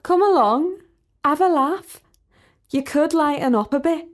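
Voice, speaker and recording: A woman's voice: sits high, unhurried, voice pushed up. Woman doing a brash Liverpudlian student. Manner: loud, blunt, excitable.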